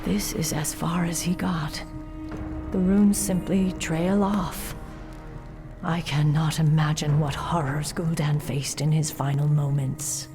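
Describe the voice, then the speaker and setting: Stern, low-voiced elf warden. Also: female voice, fairly even intonation, slow, over music. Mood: somber, measured. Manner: grave, cautious, formal. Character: stern, resolute female night elf warden. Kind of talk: dramatic video game voice acting.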